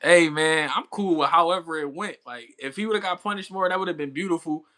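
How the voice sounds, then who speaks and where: Man, thirties, livestream host, casual slangy chat, bright voice. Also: on the high side, a little fast. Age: 30s. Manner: laid-back slangy talk, answering chat, laughing often. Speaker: livestream boxing commentator. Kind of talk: casual chat with live chat viewers.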